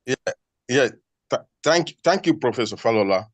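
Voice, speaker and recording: A man in a dry, close recording, with a mid-range voice. He is a university researcher and talks haltingly, with pauses and restarts as he works toward his question. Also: mid-range voice, lively intonation, no echo. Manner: hesitant, many fillers and restarts. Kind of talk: panel question. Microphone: video call.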